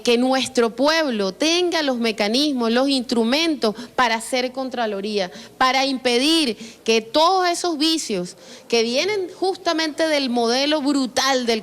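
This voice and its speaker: A Venezuelan woman speaks as a government politician in a fairly high voice. Her delivery is earnest and rhetorical, stacking parallel phrases as she makes her statement. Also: fairly bright tone.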